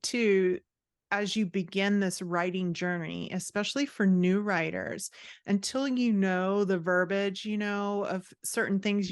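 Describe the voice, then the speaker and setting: A woman's voice: medium pitch, no room sound, clean studio sound. A woman speaking as a guest author and writing coach in a casual podcast conversation.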